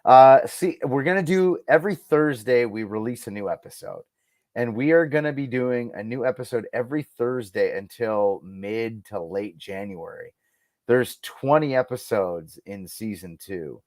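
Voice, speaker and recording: A man's voice: mid-range voice, expressive, moderate pace, darkish tone, no echo. A man speaking as a podcast guest in a casual interview, enthusiastic and emphatic about his own creative work. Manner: enthusiastic, emphatic, acts out quoted lines. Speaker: guest, radio producer and audio drama creator.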